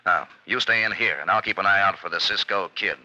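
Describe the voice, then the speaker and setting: An actor playing a wary, scheming American outlaw boss in an old radio western. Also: male voice, sits low, a little fast, natural voice. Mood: menacing. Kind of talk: 1940s-50s radio western acting. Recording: muffled, noisy vintage radio recording.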